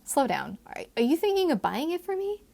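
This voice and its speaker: A woman voicing a guarded, quick-witted young woman in a historical romance, tart and earnest. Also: high voice, very animated, creaky, darkish tone, pitched above the performer's own voice, full character voice. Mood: wary, questioning.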